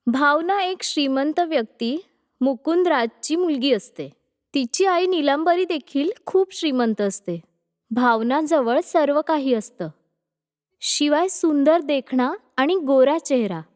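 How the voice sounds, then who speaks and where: A woman's voice: sits high, animated, measured pace, darkish tone. A woman narrator reading a scripted moral story. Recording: long reverb on voice.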